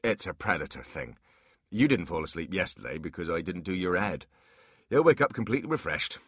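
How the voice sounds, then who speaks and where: A crafty dwarf, played by a male narrator, talking in a low male voice. He is wry and coaxing, a sly fantasy creature from a storybook, recorded close and dry. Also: very animated, a little fast, a bit bright, slightly rough, no echo, full character voice. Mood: matter-of-fact.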